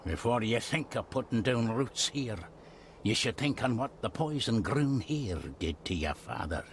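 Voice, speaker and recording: An actor playing a wary, blunt Scottish manservant in a video game drama, speaking cryptically in broad dialect. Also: male voice, medium pitch, animated, unhurried, slight background noise, close to an everyday voice. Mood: warning, gruff.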